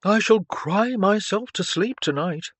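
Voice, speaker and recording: A narrator voicing a courteous, gently teasing young viscount in an audiobook, with measured gallantry. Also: medium pitch, expressive, no echo, pitched above the performer's own voice. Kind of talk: audiobook character dialogue.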